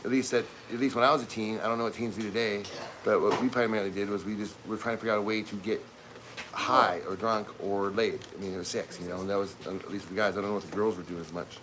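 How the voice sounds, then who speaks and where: A man's voice: fairly low pitch, steady tone, quick pace, background noise. A man in his fifties chatting casually on a livestream from his home kitchen, rambling with many restarts. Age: 50s. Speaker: livestream co-host.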